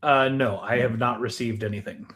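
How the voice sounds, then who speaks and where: Man, medium pitch, podcast host, conversational, deliberate, questioning, steering. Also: steady tone, a bit bright, breathy edge. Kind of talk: casual conversational hosting.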